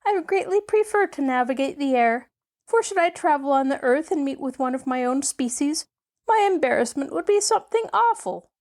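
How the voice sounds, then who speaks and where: A woman voicing a squeaky, fretful flying gump creature in an audiobook. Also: animated, fairly quick, darkish tone. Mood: plaintive. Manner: high and plaintive. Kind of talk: audiobook character voice.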